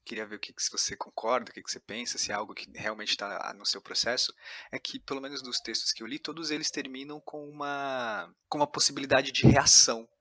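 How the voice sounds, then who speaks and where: A Brazilian man with a bright, forward voice at a middling pitch, recorded close and dry. He speaks as an interviewer sharing his reflections, conversational and often rephrasing his thoughts midway. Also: steady tone, fairly quick, no echo. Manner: searching, rephrasing as he goes. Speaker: podcast host, interviewer.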